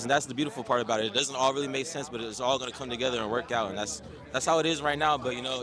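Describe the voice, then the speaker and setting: A man at a concert giving a casual, rambling answer to an interviewer, with light laughs. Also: mid-range voice. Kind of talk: casual chat. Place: outdoors at a crowded music show. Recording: loud crowd noise behind.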